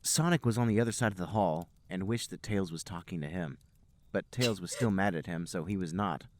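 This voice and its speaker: Low-voiced man reading aloud. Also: a little fast, no room sound. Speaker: podcast host and reader. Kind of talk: comedic read-aloud narration.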